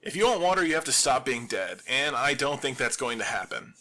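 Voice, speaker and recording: A man performing a scripted audio drama monologue as a lone survivor hosting a radio show, with wry deadpan humor. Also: medium pitch, a little fast, slight background noise.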